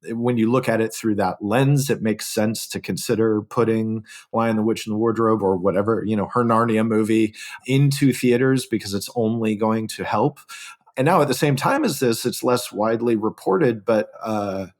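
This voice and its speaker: A man on a podcast panel, discussing industry news in a thoughtful, measured way. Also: fairly low pitch, darkish tone.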